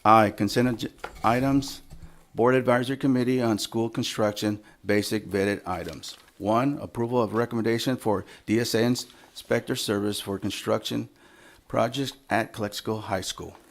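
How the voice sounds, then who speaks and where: A man presides over a school board meeting, moving through agenda items with pauses and restarts. His voice sits low and carries a gravelly fry. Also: steady tone. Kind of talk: formal meeting chairing.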